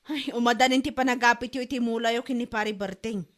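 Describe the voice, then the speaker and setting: A woman's voice: on the high side, fairly even intonation, fast talker, natural voice. A woman with an Ilocano accent playing a scolding, exasperated wife in a comedy drama. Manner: nagging, scolding.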